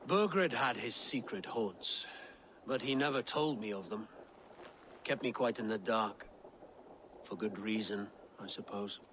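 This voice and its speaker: An actor playing a wary medieval nobleman in a video game, speaking in a formal, measured way. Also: on the low side, fairly bright tone, slight background noise. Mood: rueful, measured. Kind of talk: dramatic video game acting.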